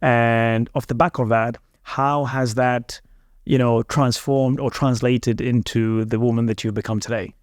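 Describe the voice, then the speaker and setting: A man hosts a podcast and asks his guest open questions in a conversational way. His voice sits at an ordinary pitch and sounds dry and close to the mic. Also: fairly even intonation, a little slow, no echo. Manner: conversational, reacts with short interjections, some repeated starts. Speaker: podcast host. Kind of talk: podcast interview.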